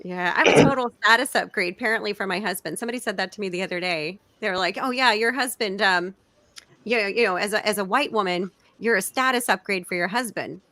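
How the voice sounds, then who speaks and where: A woman hosting a casual discussion as a comedian, talking in an animated way and acting out quoted remarks. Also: medium pitch, fast, no echo. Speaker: host and stand-up comedian.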